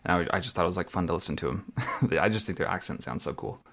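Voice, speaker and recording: Deep-voiced chatty young man. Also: animated, very fast, vocal fry, a bit bright. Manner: chatty, lots of fillers and laughs. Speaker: podcast co-host. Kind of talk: casual chat.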